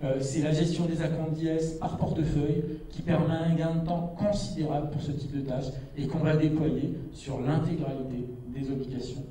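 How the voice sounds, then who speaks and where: A man in his fifties giving a product keynote on stage with a handheld mic, brisk with frequent hesitations. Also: a little high, flat delivery, warm tone, far from the mic, a little hiss or hum. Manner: brisk, with many "euh" hesitations. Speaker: keynote presenter. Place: large dark stage with a big screen. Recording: echoey hall, voice from afar.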